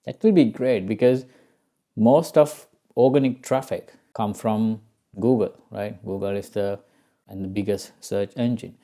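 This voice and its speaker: A man's voice: lowish voice, measured pace, mellow. A man with a Sri Lankan accent presenting an informal step-by-step tutorial, often checking in with the listener. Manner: frequently checks in with "right?" and "okay?". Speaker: SEO tutorial host.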